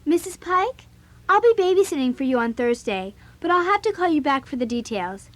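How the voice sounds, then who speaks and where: A high, youthful voice of a bossy teenage girl in a children's audio drama. She is blunt and forthright, quick to speak her mind. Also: very animated, a bit bright. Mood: brisk, huffy. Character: bossy, outspoken teenage girl club founder, also narrating.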